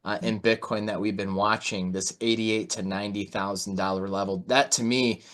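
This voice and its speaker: A man's voice: lowish voice, fairly even intonation, moderate pace, fairly bright tone. A man hosting an online interview, giving informal, conversational market commentary peppered with "you know". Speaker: host and market analyst. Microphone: video call.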